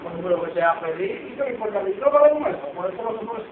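A young man bantering loudly and teasing his friends in casual talk. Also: high voice, expressive, fast, background noise. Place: open-fronted barbershop with street grille. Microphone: handheld phone camera. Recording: echoey, distant, tinny phone camera audio.

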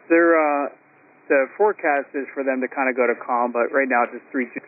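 A male air traffic controller speaks over an aviation radio at a middling pitch. His delivery is informal and accommodating. The transmission is tinny and heavily band-limited. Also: fairly quick. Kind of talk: radio communication.

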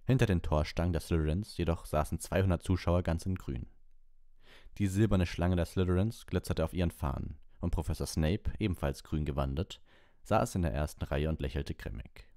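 A man's voice: sits low, a little fast, warm tone, dry recording, clean studio sound. A man reading a story aloud as an audiobook narrator.

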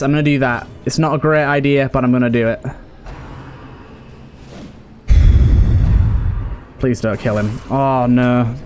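A man's voice: fairly high pitch, slight background noise. A man giving casual let's play commentary in an anxious, exasperated way, often repeating himself. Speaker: gaming YouTuber and host. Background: game music.

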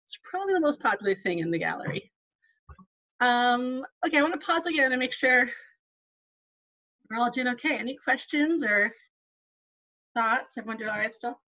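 A woman's voice: on the high side, expressive, moderate pace, pauses often, sharp tone, dull audio. A woman archivist giving a live online talk, conversational with frequent ums and asides and no regional accent. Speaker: museum archivist.

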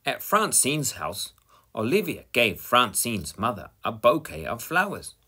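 A man reading a children's picture book aloud at an unhurried pace, his voice husky and bright. His pitch rises and falls widely as he tells the story. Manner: slow, expressive reading. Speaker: storybook reader.

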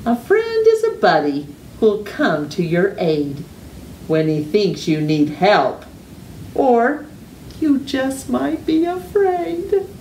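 A middle-aged woman reading a children's picture book aloud with deliberate, exaggerated storytime delivery and drawn-out words. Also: a little high, huge pitch swings, darkish tone. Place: living room at home. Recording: roomy echo, distant mic, faint noise.

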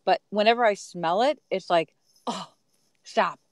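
A woman's voice: mid-range voice, unhurried, no room sound. Woman co-host, dry close sound. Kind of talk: casual chat. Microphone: video call.

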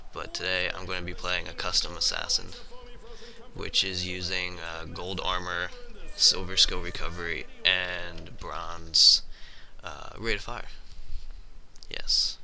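A man's voice: sits low, flat delivery, unhurried, creaky, sharp tone. A man giving casual, chatty gameplay commentary while he plays, reacting as he goes and tossing asides to his co-commentator.

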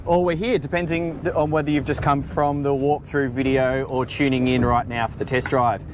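A man's voice: mid-range voice, fairly even intonation, moderate pace. An Australian man in his forties hosting a boat review, talking casually on a lapel mic aboard an open boat. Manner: chatty, easygoing, jokey with filler ums. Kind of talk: casual on-camera walkthrough. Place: open boat on a harbour, outdoors. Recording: outdoor wind and boat engine noise.

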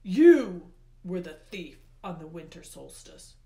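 Woman voicing a booming, furious underworld god, echoey. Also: very animated, warm tone, full character voice. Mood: accusing anger. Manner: booming, emphatic, drawn-out words. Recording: roomy, echoey indoor sound.

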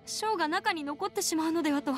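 A woman's voice: extremely high pitch, quick pace, faint music behind. A woman playing an earnest, caring young female advocate in an anime game, articulate, with formal phrasing. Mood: relieved.

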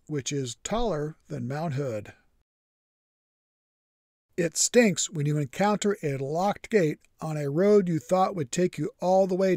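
A man's voice: a little high, a little mellow, no echo. A man narrating his camping trip in a scripted voice-over, matter-of-fact with dry humor. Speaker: vlogger.

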